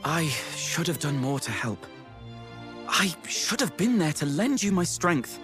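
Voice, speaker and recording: A man's voice: fairly high pitch, big pitch swings, unhurried. A man playing an earnest, courteous young knight in a fantasy game, polite and deferential. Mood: earnest, apologetic. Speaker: video game character. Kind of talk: English game cutscene voice acting. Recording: music behind the voice.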